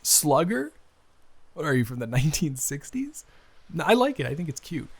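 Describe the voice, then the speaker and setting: A man playing a flustered, smitten young man in an improvised romantic audio roleplay, speaking haltingly and conversationally. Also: a little high, very animated. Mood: surprised, pleased. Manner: halting, flustered, with sighs, gasps and laughs.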